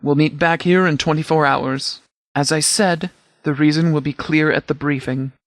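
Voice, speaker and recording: Man playing a game sheriff's commander, slightly high male voice, formal, precise, measured, no-nonsense, very dry recording. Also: fairly even intonation, no room sound, close to an everyday voice. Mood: composed, authoritative. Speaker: commanding officer character in a video game. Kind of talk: scripted game dialogue, mission briefing.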